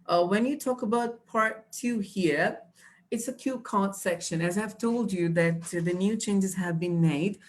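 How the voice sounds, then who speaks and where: A woman's voice: medium pitch, a little slow. A young woman, teaching exam tips patiently with many fillers and restarts. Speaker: IELTS trainer. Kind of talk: live online teaching.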